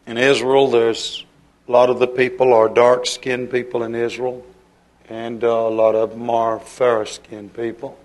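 Flat-voiced man preaching slowly. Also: mid-range voice. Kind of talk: informal sermon.

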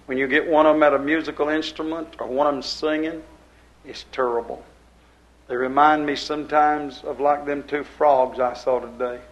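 A man's voice: a little high, steady tone, moderate pace, muffled recording. An older man preaching a sermon to his congregation in a folksy, rambling way, repeating his phrases. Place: church service before a congregation.

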